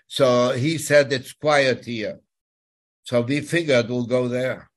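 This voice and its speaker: A man in his nineties from Poland, with a Polish accent, giving personal testimony in a halting way, often repeating words and phrases. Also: medium pitch. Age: 90s. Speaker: guest, Holocaust survivor telling his story.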